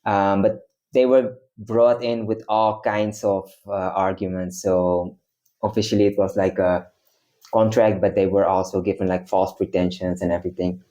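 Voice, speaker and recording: A man's voice: sits low, little pitch movement, moderate pace, warm tone. A young man from the Netherlands, a guest in casual chat, explaining at length with many hesitations.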